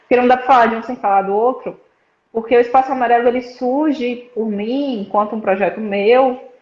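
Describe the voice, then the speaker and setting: A woman's voice: fairly high pitch, brisk. A young woman from northeastern Brazil, a psychology student, explaining her suicide prevention work in a casual live-stream chat, with frequent "né" tags. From Petrolina, Pernambuco, Brazil. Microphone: video call. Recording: roomy, dull lo-fi live stream audio.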